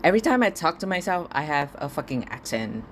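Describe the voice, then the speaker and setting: A man's voice: on the high side, very animated, brisk, fairly bright tone, a little hiss or hum. A young man from Singapore, talking alone in a casual podcast diary at home, ranting and swearing with stammered repeats. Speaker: podcast host.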